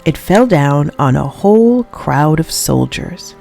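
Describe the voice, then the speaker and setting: A woman reading a children's story aloud as an expressive storybook narrator. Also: deep voice, takes it slowly, vocal fry. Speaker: storybook reader.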